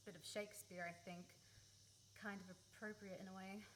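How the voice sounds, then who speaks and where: A woman's voice: medium pitch, level tone, a little fast, natural voice. An Australian woman playing a sensitive, impulsive young woman in a naturalistic radio play, secretly in love with her friend. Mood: light, playful. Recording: live recording with faint background noise.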